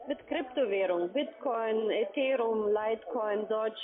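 A woman with a fairly high voice works as a telephone sales caller. She delivers her pitch over a thin, narrow-band phone line. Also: measured pace.

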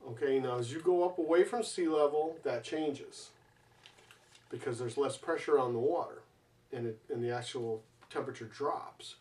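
A middle-aged man earnestly explaining home safety to a camera in a basement, often pausing with fillers. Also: medium pitch, a little mellow, recorded from a distance. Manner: earnest, gestures with his hands, many fillers. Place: basement beside a water heater, indoors.

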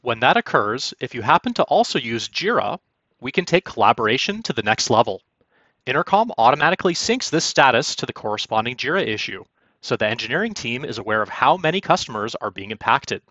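Lively scripted male presenter, dry muffled recording. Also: mid-range voice, brisk, no room sound. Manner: polished, instructional. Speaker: product demo presenter. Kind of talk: scripted narration for a software walkthrough. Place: quiet, dry room, recorded as voice-over.

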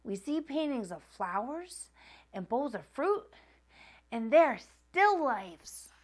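A woman in her thirties hosting a children's storytime, reading aloud in an expressive, sing-song storytelling manner. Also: sits high, slow pace. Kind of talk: children's picture book read-aloud. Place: small furnished room at a wooden table, indoors.

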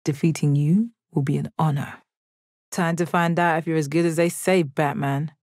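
Video game role: stoic alien gem warrior woman played by an actress. Lowish register, deadpan, confident, kind, dry close recording. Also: no echo, lightly acted. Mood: assured. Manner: measured, deadpan, formal phrasing. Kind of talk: video game character voice lines.

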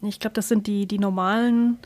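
Female podcast co-host: mid-range voice, conversational, halting explainer, recorded dry. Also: medium pitch, steady tone, darkish tone, no echo. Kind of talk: casual podcast chat.

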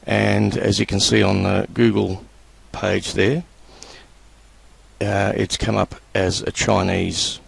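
A man presenting a screen-recorded computer tutorial, talking in a relaxed, halting way with many fillers. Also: sits low, vocal fry, breathy edge.

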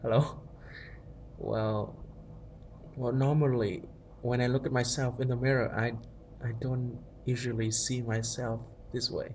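A man's voice: mid-range voice, fairly even intonation, measured pace, pauses often, a little mellow, slight background noise. A young man, a native Vietnamese speaker, musing hesitantly into a phone held at arm's length in a dim room at night, with many restarts. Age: young adult. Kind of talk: casual selfie monologue.

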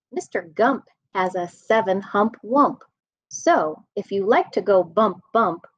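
A woman in her thirties reads a children's story aloud in an expressive, deliberate sing-song, indoors on a webcam microphone. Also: medium pitch, slightly rough. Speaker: school staff nutritional specialist reading a children's storybook. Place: indoor room at home. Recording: dull lo-fi webcam sound.

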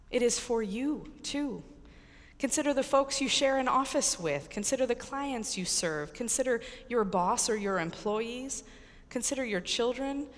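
A middle-aged American woman priest giving an earnest, emphatic sermon with deliberate pauses and repetition. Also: on the high side. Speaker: Episcopal priest preaching. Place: large church with congregation, indoors. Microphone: pulpit microphone. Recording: echoey church hall reverb.

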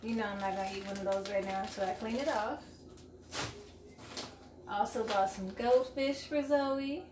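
A woman's voice: medium pitch, steady tone, moderate pace, long pauses. A woman in her forties, chatting upbeat to her viewers in a casual grocery haul vlog, filmed at arm's length in her home kitchen. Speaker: vlogger. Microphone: camera at arm's length. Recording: echoey kitchen, faint room noise.

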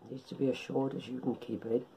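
A middle-aged man giving an informal tutorial in short, halting phrases, speaking at home into a camera microphone. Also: medium pitch, level tone, dark tone, slight background noise, low-quality recording. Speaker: amateur radio hobbyist presenter. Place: indoors, living room at home.

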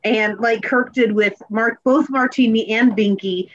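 A woman's voice: a little high, fairly even intonation, measured pace. An earnest, appreciative woman talking casually in a panel discussion over a video call. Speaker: panel participant in a theatre talkback. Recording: slightly dull video-call audio.

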